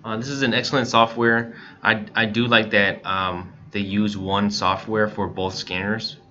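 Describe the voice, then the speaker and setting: A man narrates a casual how-to tutorial in a fairly low voice. His tone has a bright, forceful edge. The recording is somewhat dull and lo-fi. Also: little pitch movement, measured pace. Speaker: software tutorial presenter. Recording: dull lo-fi mic, background noise.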